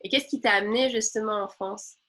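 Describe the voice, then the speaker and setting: Woman, interview host, eager, frequent exclamations, mid-pitched voice. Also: steady tone, a little fast, a bit bright. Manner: enthusiastic, exclaims often. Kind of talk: conversational interview.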